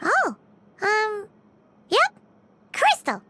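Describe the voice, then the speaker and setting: A woman voicing a tiny, cheerful, childlike fairy companion in a video game, squeaky and exclamatory. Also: huge pitch swings, extremely slow, bright, forceful tone, faint music behind, heavily acted. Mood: puzzled. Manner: refers to self in third person, exclamatory.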